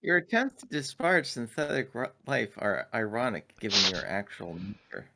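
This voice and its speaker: A man roleplaying as an android in a tabletop game, speaking in formal, precise, deadpan wording. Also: mid-range voice, normal speed, creaky, heavy background noise.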